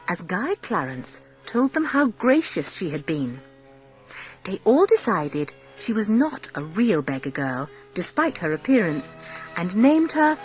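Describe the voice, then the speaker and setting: A woman narrating a dramatised children's story as an expressive audiobook storyteller. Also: mid-range voice, husky, no room sound.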